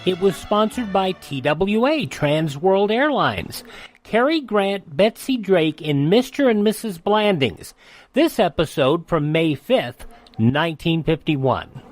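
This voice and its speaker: A man's voice: sits high, sing-song, slow. A man hosting a classic radio show, scripted but conversational, with folksy asides. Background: music.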